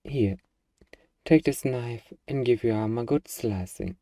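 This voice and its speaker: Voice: medium pitch, a little slow, mellow, dry recording, clean studio sound, distant mic, lightly acted. A performer playing a hardened, sardonic, swaggering killer who survives a zombie apocalypse, in an ASMR audio roleplay. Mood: firm, commanding. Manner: sarcastic, casual, swaggering.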